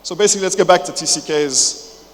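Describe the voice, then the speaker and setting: A man's voice: high voice, fast. Chatty young man in an echoey hall. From England. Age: 20s. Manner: chatty, jokey, swears, many fillers and restarts. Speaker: conference speaker. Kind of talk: casual conference talk. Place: large conference hall, indoors. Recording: echoey hall with faint noise.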